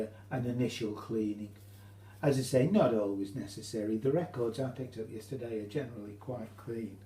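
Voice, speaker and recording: A British man giving an informal, halting how-to demonstration, explaining each step as he goes. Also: on the low side, dark tone. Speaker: hobbyist demonstrator. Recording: roomy echo, faint hum.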